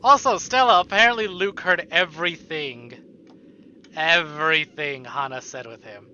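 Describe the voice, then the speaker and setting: A man's voice: on the high side, moderate pace, bright, forceful tone, muffled recording, a little hiss or hum. A man live-streaming a visual novel, reading it aloud dramatically with casual, joking, sweary asides, over music. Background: game music.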